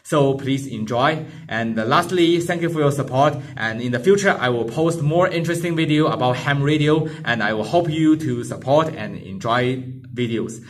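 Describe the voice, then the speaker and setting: A young man speaks straight to camera in a small plain room. He presents as a hobby vlogger, with a mid-pitched voice. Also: normal speed. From Singapore. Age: young adult. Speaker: amateur radio vlogger. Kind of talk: talking to camera, vlog sign-off.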